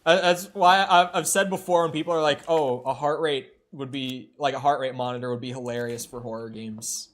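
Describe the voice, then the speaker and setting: A man's voice: medium pitch, steady tone, brisk, few pauses, fairly bright tone. A young man streaming a horror game from home, chatting in a rambling, self-deprecating way with lots of restarts. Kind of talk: casual chat while playing a horror game. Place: home room. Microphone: streaming desk mic.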